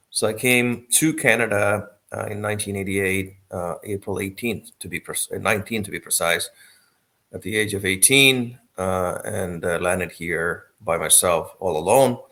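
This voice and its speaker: Low-voiced man from Sri Lanka, unhurried. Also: bright, forceful tone. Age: 50s. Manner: measured, with frequent hesitations and self-deprecating humor. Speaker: interview guest. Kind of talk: remote interview, recounting life story.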